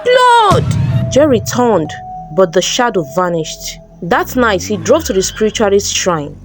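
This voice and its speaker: A woman's voice: mid-range voice, expressive, unhurried. A woman storyteller giving a scripted, dramatic narration of a folktale. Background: background music.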